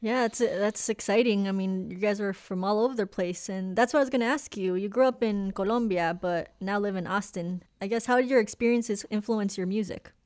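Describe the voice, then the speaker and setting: A woman's voice: medium pitch, brisk, few pauses, a little mellow. A woman hosting a radio interview in the studio, asking curious, friendly questions. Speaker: radio host.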